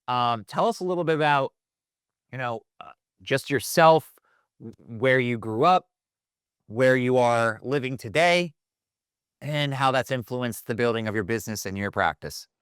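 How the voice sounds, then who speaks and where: A man's voice: mid-range voice, unhurried, pauses often, fairly bright tone, smooth, dry recording, clean studio sound. A man hosting a podcast, introducing his guest and asking questions in a friendly, loose way. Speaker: podcast host. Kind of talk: casual interview hosting.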